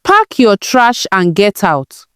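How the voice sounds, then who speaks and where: A woman's voice: medium pitch, sing-song, slow pace, fairly bright tone, clean tone, dry recording, clean studio sound. A woman voicing a furious, bullying husband in a told folktale. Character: furious, bullying husband throwing his weight around.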